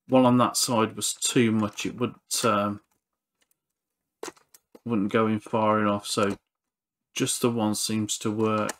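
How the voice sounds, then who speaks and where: A man's voice: fairly low pitch, fairly bright tone. A man narrating a hands-on repair walkthrough in an understated, matter-of-fact way. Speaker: repair channel presenter.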